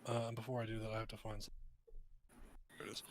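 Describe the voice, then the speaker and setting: A man's voice: fairly low pitch, monotone, quick pace. A man talking casually as a player in an online tabletop roleplaying session. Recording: background noise, keyboard typing and clicks.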